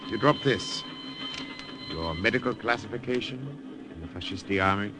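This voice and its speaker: A man's voice: on the low side, moderate pace, natural voice. A man with a German accent voicing a genial, probing German field marshal, acted in 1940s radio style. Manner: genial yet interrogating, courtly. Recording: hissy old radio broadcast.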